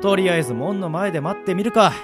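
A man playing an easygoing middle-aged swordsman mentor in a radio drama, moving between polite formality and gruff casual talk. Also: high-pitched, fast talker, over music, natural voice. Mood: weary, musing. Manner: switches between smooth polite formality and rough casual drawl. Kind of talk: anime-style radio drama acting.

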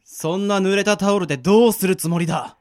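A man's voice: high voice, fast talker, a little mellow, lightly acted. Man playing a defiant captive in heavy reverb. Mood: alarmed, defiant. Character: defiant male captive under interrogation, stubborn and secretive. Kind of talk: amateur radio drama acting. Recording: long hall reverb, faint music.

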